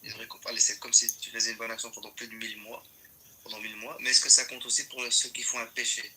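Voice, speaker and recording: A man's voice: mid-range voice, level tone, fast talker, bright, forceful tone, slight background noise, low-quality recording. A man reading out audience questions, hesitant with fillers.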